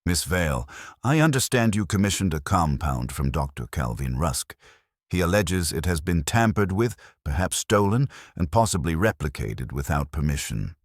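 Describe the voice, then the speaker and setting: A man's voice: low voice, big pitch swings, normal speed, no room sound, studio quality. A man voicing an imperious older male detective who speaks in terse, clipped commands. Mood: curt, disdainful.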